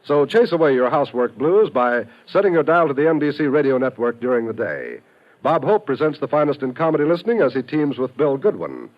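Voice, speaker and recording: A man's voice: medium pitch, animated, a little fast. A man with an American accent reading scripted promos and credits as a 1950s radio announcer. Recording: dull old radio broadcast recording. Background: faint music.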